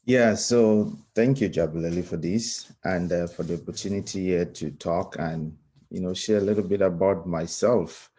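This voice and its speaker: A man from Cameroon with a Cameroonian accent, giving a reflective personal talk as a guest speaker in a halting, thoughtful, earnest manner. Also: low voice, warm tone, breathy edge.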